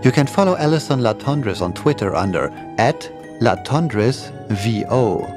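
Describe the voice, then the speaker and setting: Lively-pitched man hosting over music. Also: measured pace. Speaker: podcast host, composer. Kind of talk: scripted podcast outro. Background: prominent music.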